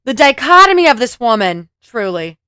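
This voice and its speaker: A woman's voice: fairly high pitch, sing-song, normal speed, bright, forceful tone, clear, resonant tone, dry recording, clean studio sound. A woman reacting to clips in a sarcastic, laughing commentary, swearing now and then. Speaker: commentary host.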